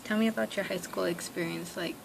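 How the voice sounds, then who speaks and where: Gravelly young woman, hesitant interviewer. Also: lowish voice, fairly even intonation, a little fast. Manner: hesitant questions full of fillers and "like". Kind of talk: oral history interview. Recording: noisy recording with room hiss.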